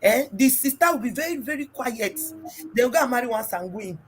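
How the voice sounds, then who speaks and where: A middle-aged woman with a Nigerian accent and a big, loud voice, hosting a casual relationship talk and telling stories emphatically. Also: fairly high pitch, expressive, fairly quick, a little hiss or hum. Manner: emphatic, storytelling, mixes in pidgin. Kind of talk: casual group discussion.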